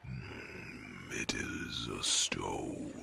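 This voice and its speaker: A man playing a huge, gentle old dragon mentor in an ASMR fantasy roleplay, speaking in a slow, gravelly, breathy rumble with thoughtful hums. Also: exaggerated voice. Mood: solemn guidance.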